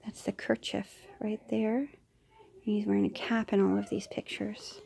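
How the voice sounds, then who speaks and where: A woman's voice: mid-range voice, little pitch movement, unhurried, gravelly, breathy edge. Mother reading a storybook aloud. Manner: gentle, with soft whispered asides.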